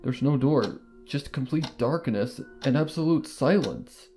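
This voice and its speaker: A nervous little baby dragon, as a male narrator reads him. His halting, shaken speech keeps trailing off, set against a faint bed of music. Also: medium pitch, expressive, mellow, lightly acted. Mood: uneasy. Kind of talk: audiobook reading of fan fiction.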